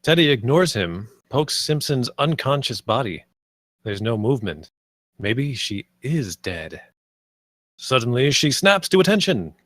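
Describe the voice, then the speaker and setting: A man's voice: mid-range voice, very animated, unhurried, fairly bright tone, no room sound. A man narrating the stage directions and scene headings of a screenplay at a table read.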